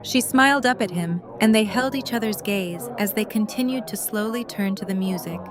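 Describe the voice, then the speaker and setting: A woman's voice: medium pitch, normal speed, crowd noise. A woman narrator reading scripted third-person story narration for an audio drama.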